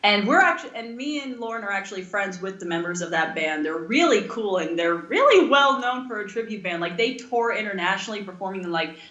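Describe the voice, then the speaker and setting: A woman's voice: mid-range voice, fairly bright tone, roomy sound, dull audio. A young woman chatting casually as a podcast co-host, rambling along with enthusiasm. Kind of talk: casual chat.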